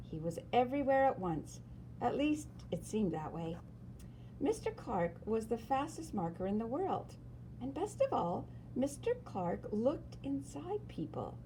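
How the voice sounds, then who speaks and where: A woman reads a children's story aloud expressively, with dramatic emphasis and pauses. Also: a little high, noisy background. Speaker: storyteller reading a picture book aloud.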